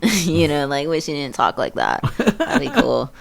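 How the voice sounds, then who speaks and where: A woman's voice: low voice, wildly swooping pitch, a little slow, vocal fry. A woman in her early thirties chatting casually as a podcast guest, with blunt, slangy talk full of swearing. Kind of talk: casual chat.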